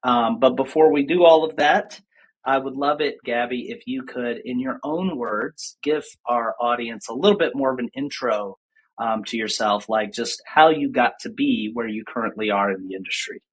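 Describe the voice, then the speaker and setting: A man's voice: mid-range voice, normal speed, breathy edge, low-quality recording. A man hosting an interview podcast over a video call, upbeat and enthusiastic. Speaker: podcast host.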